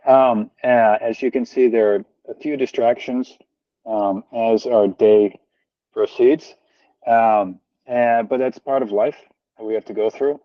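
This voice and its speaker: A man's voice: medium pitch, steady tone, unhurried, no room sound. An American man talking on a video call from home, earnest and rambling, with many fillers and restarts. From United States. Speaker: guest, founder of a social organization. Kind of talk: casual conversational interview. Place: home, family moving about behind him. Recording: dull, lo-fi video call audio.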